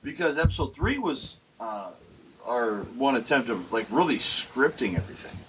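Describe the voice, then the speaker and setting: A man's voice: mid-range voice, big pitch swings, moderate pace, a bit bright, a little hiss or hum, low-quality recording. A man in his forties co-hosting a casual podcast, talking in a rambling way with many restarts. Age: 40s. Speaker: podcast co-host. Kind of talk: casual chat. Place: basement.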